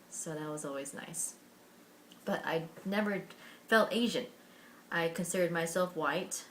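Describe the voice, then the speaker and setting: A woman's voice: fairly low pitch, a little hiss or hum. A young American woman from Washington State telling her own life story to camera in an earnest, candid way, with many fillers and restarts. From Washington State, USA. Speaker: vlogger.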